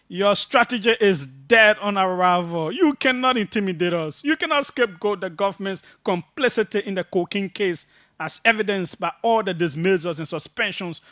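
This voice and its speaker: High-voiced man hosting radio talk. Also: fairly bright tone, no room sound, studio quality. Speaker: radio talk show host. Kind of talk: live current affairs talk, reading statements aloud.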